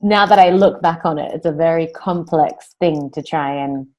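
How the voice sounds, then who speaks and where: A woman with a low voice answers interview questions in a loose, rambling way. She circles back, restarts her sentences and leans on fillers as she goes. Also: normal speed, warm tone, dull audio. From New Zealand and Australia. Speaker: guest, singer-songwriter. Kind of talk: casual interview conversation.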